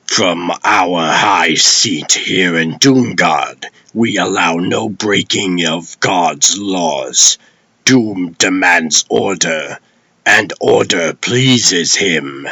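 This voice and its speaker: A man's voice: deep voice, very slow, gravelly, pushed and bright, no echo, full character voice. A man playing an old, stern warrior god and lawman in a comic dub, speaking in grave, archaic, commanding oratory. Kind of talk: theatrical comic dub acting.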